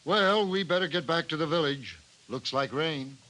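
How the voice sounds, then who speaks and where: A man's voice: on the high side, lively intonation, normal speed, pushed and bright, rough recording. A man playing a consoling, practical villager in a 1950s radio drama. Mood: reassuring. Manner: reassuring.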